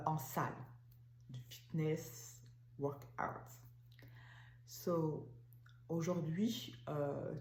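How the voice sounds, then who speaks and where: A woman in her forties from France, talking slowly and haltingly with many fillers in a personal vlog. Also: low voice, dark tone, roomy sound, slight background noise. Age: 40s. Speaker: vlogger, massage therapist. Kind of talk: casual personal vlog talk.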